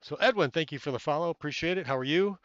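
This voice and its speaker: A man's voice: on the high side, fast talker, a bit bright, no echo, lo-fi sound. Chatty man streaming from a tent. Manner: rambling, chatty, thanks viewers by name, many fillers. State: a little stuffy-nosed. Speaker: amateur astronomy livestreamer. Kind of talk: casual live stream chat with viewers. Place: inside a small bubble tent outdoors.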